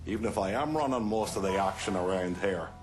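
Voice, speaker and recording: An actor playing a cynical, greedy gangster in a video game, crude and sardonic. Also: male voice, deep voice, fairly quick, music in the background, lightly acted. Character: cynical, greedy, hard-boiled male gangster. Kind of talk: dramatic video game dialogue.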